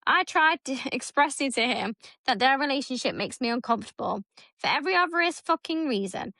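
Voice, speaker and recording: A woman's voice: sits high, sharp tone, no room sound. A woman in her thirties co-hosting a podcast, reading a story aloud in casual chat, blunt and swearing freely. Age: 30s. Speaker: podcast co-host.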